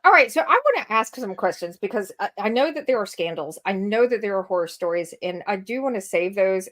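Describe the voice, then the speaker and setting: A woman co-hosting a casual chat, asking a guest questions in a rambling way. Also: mid-range voice. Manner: rambling, lots of fillers and restarts. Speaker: podcast co-host.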